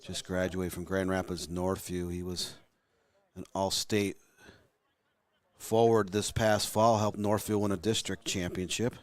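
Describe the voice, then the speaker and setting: A man doing color commentary on a youth soccer game, with a lower voice gone a little hoarse and raspy. He fills gaps with background notes on players. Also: level tone, unhurried, no room sound. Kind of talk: sports commentary.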